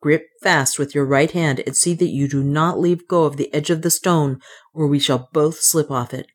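A woman's voice: deep voice, fairly even intonation, moderate pace. A woman voicing a brave male adventurer in an audiobook, firm and commanding yet tender. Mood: tense, commanding.

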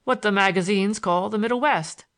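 A woman voicing a courteous young gentleman in his twenties, wry and gently condescending, in audiobook dialogue. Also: mid-range voice, normal speed, natural voice. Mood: wry, curious. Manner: polite, dry, questioning.

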